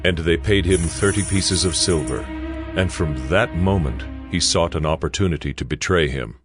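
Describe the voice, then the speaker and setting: A male narrator reading scripture in a deliberate, expressive way. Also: low voice, music in the background.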